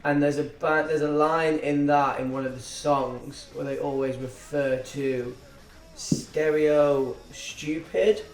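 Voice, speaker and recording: A man's voice: fairly high pitch, fairly even intonation, a little mellow. A man, a band frontman chatting casually and jokingly in an interview. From England. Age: 20s. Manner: chatty, jokey, quick asides. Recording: echoey room with music behind.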